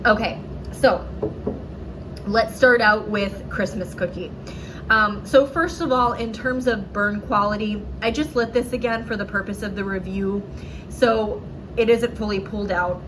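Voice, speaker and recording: A woman talks through a candle review from home in a loose, chatty way, filling pauses with "um" and correcting herself as she goes. A cold leaves her a touch nasal and sore-throated. Her pitch sits in the middle, and a steady hiss runs under the recording. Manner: chatty, lots of "um"s, self-correcting. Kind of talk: casual product review vlog. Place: at home indoors.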